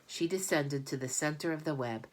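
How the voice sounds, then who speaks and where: Woman, low-pitched voice, storybook narration, expressive read-aloud delivery. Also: normal speed, rough recording. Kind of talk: scripted children's book narration.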